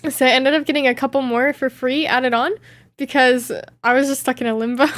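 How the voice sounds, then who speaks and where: A woman's voice: sits high, moderate pace, a bit bright, no echo. A woman streamer chatting casually, reading viewer questions aloud and reacting playfully with frequent giggles. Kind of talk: casual live Q&A chat.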